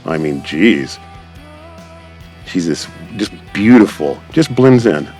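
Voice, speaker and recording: A man's voice: low voice, animated, takes it slowly, a little mellow, husky. A man giving casual, enthusiastic, rambling gameplay commentary as a channel creator. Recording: background music behind the voice. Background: music.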